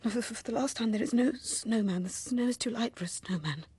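A woman's voice: on the high side, fairly quick, husky, no room sound. A woman playing a fussing, easily alarmed suburban mother with a British accent in a radio horror drama, maternal and chiding. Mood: dismissive, uneasy. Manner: maternal, expressive.

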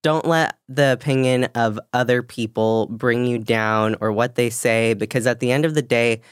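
A young woman with a low voice and a slight lisp, co-hosting a podcast in a candid, self-deprecating way and laughing often. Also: fairly even intonation, normal speed, clean tone, no echo, clean studio sound. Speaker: podcast co-host. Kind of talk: casual podcast chat.

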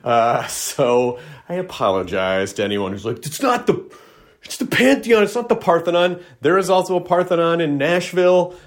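A man hosting a podcast in casual chat, talking with many fillers and self-corrections, and slipping into halting learner Italian. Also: fairly high pitch, animated. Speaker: podcast host.

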